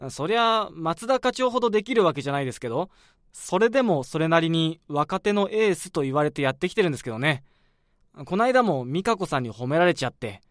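A man's voice: on the high side, sing-song, fast, a bit bright, no room sound, studio quality. An actor playing a polite but menacing young male office worker in a radio drama, shifting from teasing to coolly sinister talk. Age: young adult. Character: sly young male coworker who talks about murder.

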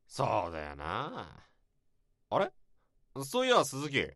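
An actor playing a blunt, rough-talking senior male office worker in a radio drama. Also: mid-range voice, wildly swooping pitch, slow, a bit bright, dry recording, clean studio sound, full character voice. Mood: grumbling. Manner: blunt, gruff casual speech.

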